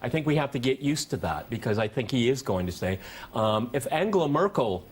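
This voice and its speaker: A man's voice: medium pitch, big pitch swings, brisk, breathy edge. A man hosting a political talk show, moderating a panel and steering the conversation between his guests.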